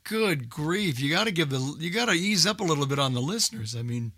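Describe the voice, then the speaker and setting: A man's voice: fairly high pitch, expressive, fairly quick, a bit bright, no echo, studio quality. An older American man chatting casually in a group, speaking emphatically and repeating himself for emphasis.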